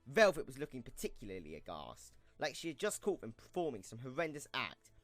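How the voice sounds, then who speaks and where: A man's voice: mid-range voice, huge pitch swings, brisk, a bit bright, no room sound. A man reading scripted storybook narration as the narrator of an audio drama. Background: music.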